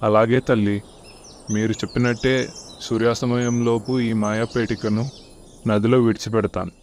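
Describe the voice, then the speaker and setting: Man acting low-pitched, pleading poor farmer, faint noise. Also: level tone, normal speed, darkish tone, close to an everyday voice. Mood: grateful. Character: poor, devout farmer yearning for riches. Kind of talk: children's cartoon story acting.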